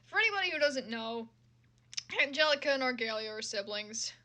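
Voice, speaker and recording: A woman's voice: a little high, animated, a little fast, pushed and bright. A woman livestreamer chatting casually and reacting as she browses, with a sweary, exclaiming, self-deprecating manner. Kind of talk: casual livestream chat, reacting to fan fiction.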